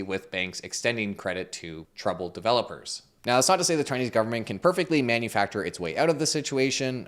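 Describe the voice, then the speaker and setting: A man hosting a finance channel, explaining the market in a measured, neutral way while hoarse and losing his voice. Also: on the low side. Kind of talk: explainer commentary.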